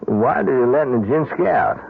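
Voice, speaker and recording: An actor playing an apologetic, puzzled working man with a husky voice in an old-time radio drama, on a tinny recording. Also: mid-range voice, animated, normal speed. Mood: hesitant, puzzled. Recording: tinny band-limited off-air radio recording.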